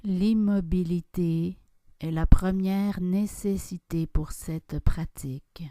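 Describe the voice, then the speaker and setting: A woman guides a deep relaxation session in a mellow, soothing tone. She speaks extremely slowly and softly, leaving long silences between instructions. The sound is dry and close, with no room reflections. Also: no echo. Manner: calm, soft instructions with long pauses. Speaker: yoga nidra guide.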